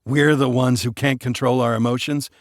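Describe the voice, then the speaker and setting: A man's voice: medium pitch, fairly even intonation, moderate pace, no echo, studio quality, close to an everyday voice. A man voicing a sarcastic young man who mocks the others. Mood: mocking.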